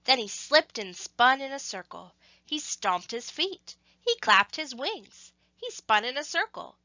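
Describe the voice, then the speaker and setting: A woman reading a scripted story to children, with expressive, measured phrasing and emphasis on action words. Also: high voice, dry recording, lo-fi sound.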